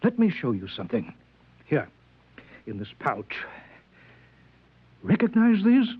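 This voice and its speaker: A sly, scheming demagogue back from exile, insistent and insinuating. His high voice has a husky rasp. It comes through a thin, tinny old radio broadcast. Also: wildly swooping pitch, slow pace, exaggerated voice. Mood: sly, ingratiating. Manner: insinuating, insistent, repeats words. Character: scheming, manipulative ex-convict demagogue back from twenty years' exile. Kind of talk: 1950s radio drama acting. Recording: thin, band-limited old radio recording.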